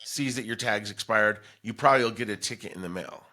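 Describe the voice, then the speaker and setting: Bright-voiced American man, podcast host. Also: on the low side, fast talker. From Denver, United States. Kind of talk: casual chat.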